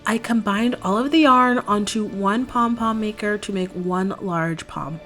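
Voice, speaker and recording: Slightly hoarse young woman crafting host. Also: medium pitch, unhurried. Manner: chatty, relaxed, explains steps plainly. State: slightly hoarse, recovering from a cold. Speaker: DIY craft channel host. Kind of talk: casual step-by-step craft tutorial voice-over. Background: faint background music.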